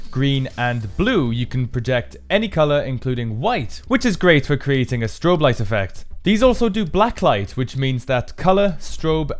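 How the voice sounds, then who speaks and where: A man presenting his own build in a scripted how-to narration. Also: mid-range voice.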